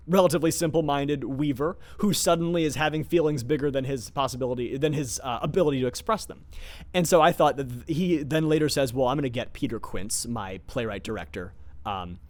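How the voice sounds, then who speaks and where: A man speaking as a theatre director in an informal, scholarly talk, enthusiastic but often searching for words as he explains. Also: mid-range voice. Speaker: theatre director discussing his production.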